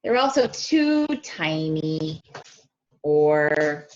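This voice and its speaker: A woman reading a picture book aloud to children, expressive and stretching key words, often stopping to ask them questions directly, on a slightly dull lo-fi recording. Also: deep voice, extremely slow, soft background music. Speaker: speech-language pathologist reading to children. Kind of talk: animated children's read-aloud with questions to listeners.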